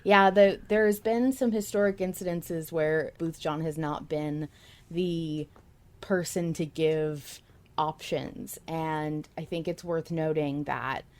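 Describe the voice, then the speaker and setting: A woman's voice: deep voice, fairly even intonation, takes it slowly, a little mellow. A woman hosting a casual fan discussion podcast, speaking with enthusiasm as she comments and reads out remarks. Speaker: podcast host.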